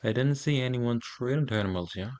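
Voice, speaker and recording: A man's voice: medium pitch, a little fast, darkish tone. A man streams a game and reacts casually to what is on screen. His voice is mid-range, with slangy, offhand asides and repeated words. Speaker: gaming streamer. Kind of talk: casual gameplay reaction commentary.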